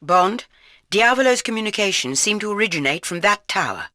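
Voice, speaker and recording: A woman's voice: mid-range voice, animated, a little fast, sharp tone, slight background noise. An actress playing an urgent, authoritative female spy chief directing agents in a video game.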